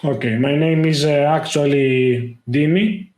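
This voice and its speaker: A man talks casually as a guest, stopping often to hunt for words. His voice sits a little high for a man. The sound bounces around an echoey room. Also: fairly even intonation, a little mellow. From Greece. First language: Greek. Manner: halting, lots of "uh" fillers. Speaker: guest, tech YouTuber. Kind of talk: casual interview talk.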